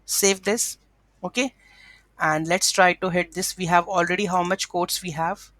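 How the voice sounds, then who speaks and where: A woman's voice: lowish voice, steady tone, measured pace, fairly bright tone, dry recording. A woman hosting an informal coding tutorial, talking through each step aloud as she works. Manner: instructional, thinking aloud step by step. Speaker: tutorial host.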